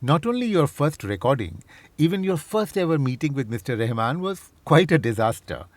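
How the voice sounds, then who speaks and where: Curious interviewer with a lively voice. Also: mid-range voice, normal speed, dry recording. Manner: curious, friendly. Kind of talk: interview questions.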